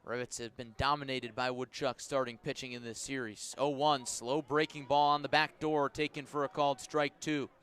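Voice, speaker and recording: A man's voice: medium pitch, flat delivery, moderate pace, hardly any pauses, a bit bright, smooth. A man doing live baseball play-by-play for radio, describing the action in clipped, descriptive phrases. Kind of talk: live radio sports commentary.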